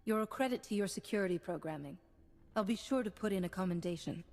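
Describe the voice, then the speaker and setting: A woman's voice: mid-range voice, darkish tone, natural voice. Composed, resolute female Jedi master, played by an actress. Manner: formal, confident, measured.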